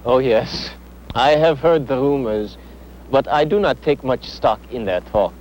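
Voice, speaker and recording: An actor playing a humble, reluctant German immigrant priest, formal, modest and earnest in an acted interview. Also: male voice, medium pitch, unhurried, a little mellow, a little hiss or hum, close to an everyday voice, rough recording. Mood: earnest, uneasy. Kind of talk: acted documentary-style interview.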